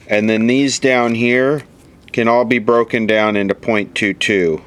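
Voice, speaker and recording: A man's voice: on the low side, a bit bright, smooth. Man talking through a repair at his bench. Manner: thinks aloud while explaining technical details. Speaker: radio repairer. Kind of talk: casual workbench repair narration.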